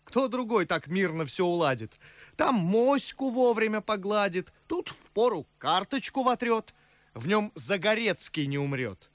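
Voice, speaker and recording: An actor playing a witty, mocking young gentleman in theatrical verse for a radio drama. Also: high-pitched, sing-song, unhurried, no echo. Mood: sardonic. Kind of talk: classic stage verse acting for radio.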